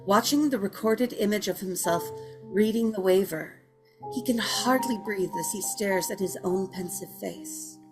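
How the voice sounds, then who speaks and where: A woman's voice: mid-range voice, a little slow, music in the background. A woman narrating scripted stage directions for a drama.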